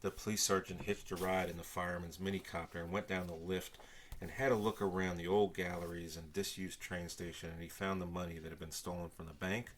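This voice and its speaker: An older man reading a children's story aloud with expressive narration. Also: sits low, slight background noise.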